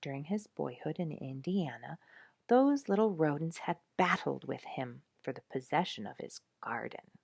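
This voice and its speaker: A woman reading an animal storybook aloud in an expressive, animated storytelling manner, as if for listeners following the pictures. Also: deep voice, normal speed, gravelly, slightly rough, no echo, studio quality. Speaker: storybook reader.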